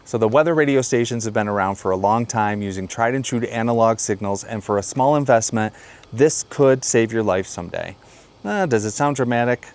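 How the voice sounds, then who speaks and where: Guy with a lowish voice doing a casual gear review video, plain talking, explains stuff. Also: a little fast, darkish tone. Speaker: video host. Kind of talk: casual product review to camera.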